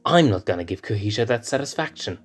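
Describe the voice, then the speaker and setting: A man playing a proud, hot-headed lion cub prince with a low voice and a defiant edge. He snaps back quickly and loves to boast. Soft music plays behind this storybook reading. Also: lively intonation. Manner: defiant, temperamental.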